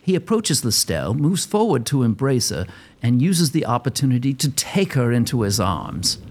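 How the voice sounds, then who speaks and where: A man's voice: medium pitch, big pitch swings, moderate pace, slightly rough. A man giving playful, theatrical scripted narration as the storyteller of an audio drama.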